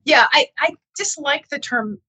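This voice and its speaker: Bright-voiced woman explaining academic research. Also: high voice, animated, a little slow. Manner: earnest, explanatory, checks with "right?". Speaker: interview guest, geneticist and author. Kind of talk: conversational academic interview.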